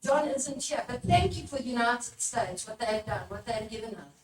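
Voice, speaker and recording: A woman's voice: fairly high pitch, lively intonation, moderate pace. A woman presenting a workshop talk to a live audience, urging listeners on and putting questions to them. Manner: exhortatory, puts questions to the listeners. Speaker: workshop presenter, religious formator. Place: echoey room at a live workshop. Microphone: picked up from a distance. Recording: echoey room, distant mic, background noise.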